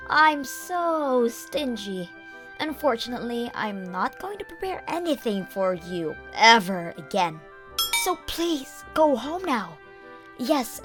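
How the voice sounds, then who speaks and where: A woman voicing an explosive, bitingly sarcastic, fed-up sister-in-law in a manga dub. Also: on the high side. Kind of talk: manga dub character acting.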